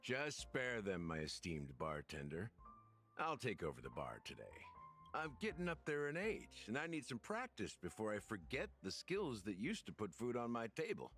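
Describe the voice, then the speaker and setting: A wry, animated ageing barman. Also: fairly low pitch, normal speed. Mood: wry, casual. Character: wry, easygoing ageing male bartender. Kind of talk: video game character voice acting. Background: music.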